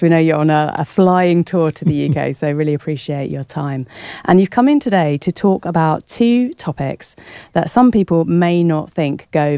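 A woman hosts a studio interview, her voice lowish and mellow. She reads a set introduction, then asks her guests questions in a relaxed way. The room sounds dry. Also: no echo. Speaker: podcast host. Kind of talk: interview hosting.

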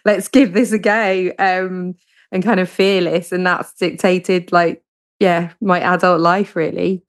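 A woman's voice: on the low side, flat delivery, measured pace. A woman from southern England with a southern English accent, a guest author answering interview questions in a chatty way with many hesitations.

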